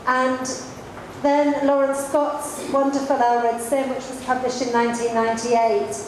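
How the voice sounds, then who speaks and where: A woman with a moderately high voice hosts a literary event in an informal way. Her words ring heavily in a big echoing room, with slight noise in the background. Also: flat delivery, unhurried. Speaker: event host. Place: large echoey room at a public event. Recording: very echoey hall, faint background noise.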